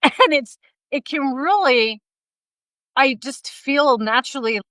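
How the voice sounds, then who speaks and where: Bright high-energy woman host on a video call. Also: takes it slowly, no echo. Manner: energetic, laughing often, animated hands. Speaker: podcast host. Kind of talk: casual interview chat. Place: bright room at home, indoors. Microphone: video call, laptop mic.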